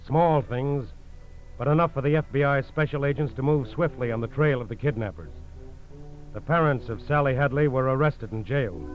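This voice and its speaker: An actor as a grave, urgent American narrator of a 1940s radio crime drama, clipped, dramatic and emphatic. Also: male voice, mid-range voice, moderate pace, faint music behind, lightly acted. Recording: dull old radio broadcast recording.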